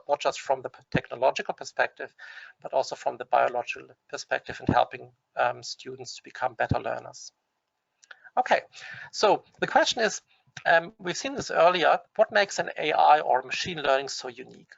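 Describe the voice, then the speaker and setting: Man, German accent, webinar presenter, gravelly, dull dry computer audio, hesitant restarts. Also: mid-range voice, a bit bright, dry recording. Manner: hesitant, frequent restarts and fillers. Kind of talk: explanatory webinar talk.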